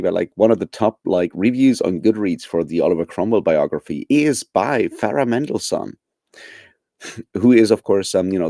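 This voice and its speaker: Low mellow guy rambling at his stream, lots of ums, dead dry sound. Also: dry recording. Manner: rambling, many fillers and restarts; chuckles often. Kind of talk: casual chat to live viewers.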